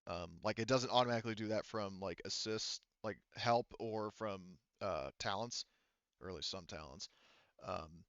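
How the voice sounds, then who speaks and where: Low, smooth-voiced man running a game. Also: moderate pace, fairly bright tone, dry recording, lo-fi sound. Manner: hesitant, thinking aloud. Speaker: game master. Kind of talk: casual tabletop game refereeing.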